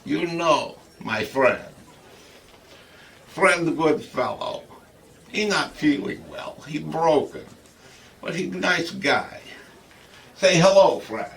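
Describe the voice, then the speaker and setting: A man's voice: a little high, slow, gravelly, pauses often, recorded from a distance, a little hiss or hum, full character voice. A man playing a jolly, crude comedy host who tells stories in chuckling broken English. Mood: boisterous, boastful. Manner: broken grammar, chuckling, animated storytelling. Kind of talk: comic monologue in character.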